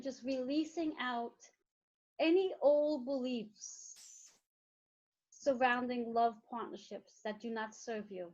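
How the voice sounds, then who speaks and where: Meditation guide: woman with a slightly high voice, soothing and repetitive, on a dull lo-fi recording. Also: darkish tone. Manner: slow, soothing, repetitive phrasing.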